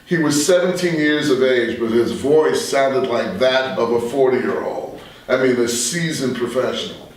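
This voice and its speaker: An American man in his sixties speaking as a veteran radio journalist in a reflective interview, measured and halting with emphatic asides. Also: medium pitch, distant mic. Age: 60s. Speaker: veteran radio journalist and talk show guest. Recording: very echoey room, off the mic.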